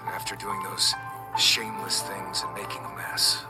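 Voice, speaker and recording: An actor playing a wary underground tinkerer and dealer in a video game, dry, sighing and matter-of-fact. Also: male voice, low-pitched, monotone, unhurried. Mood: dry, resigned. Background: loud game music.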